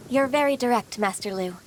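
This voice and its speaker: An actress playing a gentle, earnest young official with a courteous, formal and measured delivery in a video game. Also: female voice, fairly high pitch, a little hiss or hum, close to an everyday voice. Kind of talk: video game character acting.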